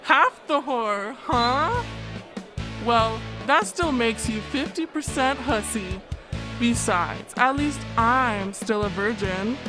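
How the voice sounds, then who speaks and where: Bawdy party girl, played by a woman, highish voice, unhurried giggly teasing, comic audiobook, music behind. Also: lively intonation. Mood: teasing, boastful.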